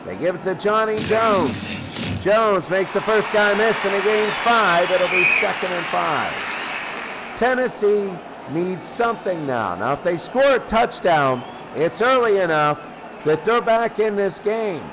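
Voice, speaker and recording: A man's voice: expressive, unhurried. A man calling football play-by-play as a radio announcer, in short clipped phrases that he often repeats. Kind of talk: American football radio commentary. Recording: noisy recording with vehicle-like rumble.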